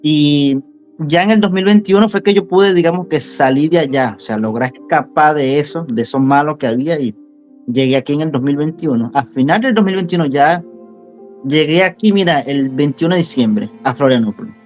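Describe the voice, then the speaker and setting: A man's voice: on the high side, normal speed, a little mellow. Venezuelan man telling his story, light music. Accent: Venezuelan Spanish. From central Venezuela. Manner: conversational, repeats words for emphasis. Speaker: interview guest. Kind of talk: casual interview storytelling.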